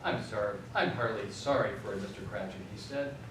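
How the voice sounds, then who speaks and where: An actor playing a gentle, grieving father in a live radio drama, tender and halting. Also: lowish voice, natural voice. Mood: tender, brave. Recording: echoey live hall, distant mic, noisy.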